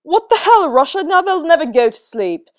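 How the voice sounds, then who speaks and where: A woman voicing an exasperated young man who scolds loudly, then fusses over sleepy children in a fanfic reading. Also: mid-range voice, lively intonation, moderate pace, dry recording, clean studio sound, lightly acted. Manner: scolding, flustered.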